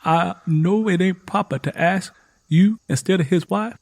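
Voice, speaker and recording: A man's voice: high-pitched, a little slow, gravelly, no room sound, pitched above the performer's own voice. A man voicing a folksy young Southern country woman in an audio drama, a cousin who talks in polite, pleading dialect.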